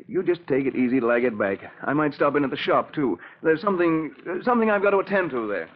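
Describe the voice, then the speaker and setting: Anxious American locksmith, animated pitch, hesitant delivery, vintage radio drama, very lo-fi sound. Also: male voice. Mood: reassuring, uneasy. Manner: hesitant, halting, pleading. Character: desperate, anxious male locksmith, devoted husband. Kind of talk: 1940s radio acting. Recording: muffled old 1940s radio recording.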